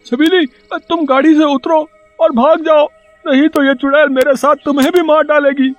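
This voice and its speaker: Man playing a very high-voiced village husband. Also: steady tone, normal speed, faint music behind. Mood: panicked.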